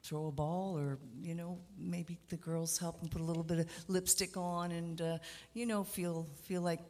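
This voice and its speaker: A woman from Canada, a country singer, answering interview questions on a radio show in a low voice, with many fillers and restarts. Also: little pitch movement, moderate pace, dark tone. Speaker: guest musician.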